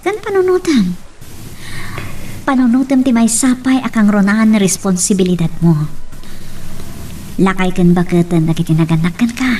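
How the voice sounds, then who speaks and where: A woman playing a forgiving, heartbroken young woman in a romance drama, speaking in an earnest, measured, pleading way over faint background noise. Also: mid-range voice, darkish tone, close to an everyday voice. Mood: earnest, sorrowful. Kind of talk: Ilocano radio-style romance drama acting.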